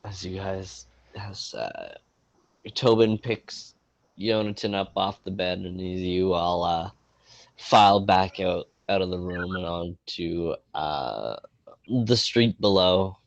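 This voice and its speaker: Slow, low-voiced congested game master. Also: male voice, creaky, dry recording. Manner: halting, filler-heavy narration. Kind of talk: narrating a tabletop game over voice chat.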